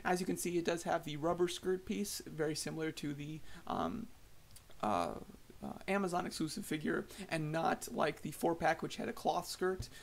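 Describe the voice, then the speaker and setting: A slightly hoarse man giving casual, rambling voice-over thoughts on collectible figures, with many fillers and restarts. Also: a little high, normal speed. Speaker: toy collector vlogger.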